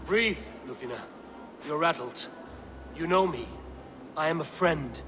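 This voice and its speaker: An actor playing a stern, blunt male Viking warrior in a game cutscene, speaking in a steady, measured way. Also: on the high side, background noise, close to an everyday voice. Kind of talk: video game cutscene acting.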